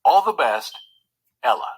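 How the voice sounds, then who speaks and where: Man reading as a kind, adventurous young woman, husky. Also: mid-range voice, big pitch swings, measured pace. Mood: resolute, kind. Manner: gentle, apologetic, frank.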